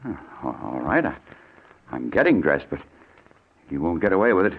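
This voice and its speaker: Jittery low-voiced man, tinny old radio. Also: moderate pace, vocal fry, heavily acted. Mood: fearful, stalling. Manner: excitable, stammering. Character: nervous, frightened businessman in fear for his life. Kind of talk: old-time radio suspense acting.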